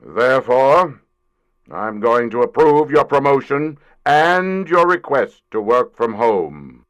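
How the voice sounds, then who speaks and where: A man in an audio drama playing a gruff, condescending corporate boss, pompous and grudging in his delivery. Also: medium pitch, sing-song, slow, a bit bright.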